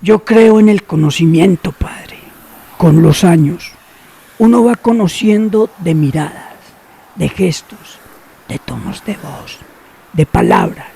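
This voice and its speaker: An actor playing a secretive, cynical older man in a radio drama, who talks slowly and knowingly and drops to near whispers. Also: sits high, animated, creaky, warm tone, husky, exaggerated voice. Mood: sly.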